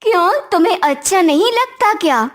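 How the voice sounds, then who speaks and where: A woman's voice: very high voice, lively intonation, normal speed. A woman playing a vain, spiteful young daughter-in-law in a cartoon moral story, haughty and taunting.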